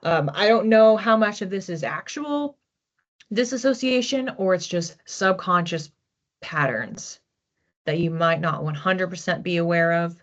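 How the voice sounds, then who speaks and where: A woman's voice: fairly low pitch, expressive, unhurried. A woman giving a psychic reading, musing aloud and often repeating words as she searches for what comes through. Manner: hesitant, repeats words while searching for images. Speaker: psychic reader. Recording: dull lo-fi recording.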